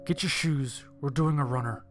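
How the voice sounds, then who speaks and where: A man's voice: mid-range voice, normal speed, close to an everyday voice. A man playing an anxious, protective husband and father who speaks with urgency in an audio horror story. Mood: urgent. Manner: urgent, hushed at times, decisive. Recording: music bed behind the voice.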